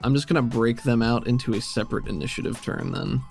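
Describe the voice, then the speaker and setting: A man's voice: mid-range voice, quick pace, creaky, a little mellow, soft background music. A man acting as game master of a tabletop role-playing game, talking casually.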